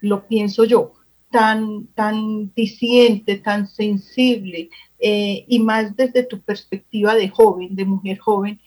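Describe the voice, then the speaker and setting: A woman's voice: mid-range voice, fairly even intonation, slow pace, darkish tone, rough recording. A woman with a Colombian accent, speaking effusively as co-host of a radio interview. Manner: effusive, complimentary. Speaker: co-host, professor. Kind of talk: radio interview, warm introductions.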